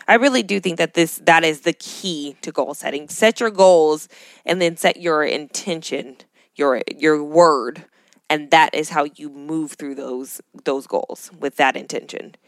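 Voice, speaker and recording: Woman podcast co-host, low-pitched, dry sound. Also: a little slow, no room sound. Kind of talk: casual reflective conversation.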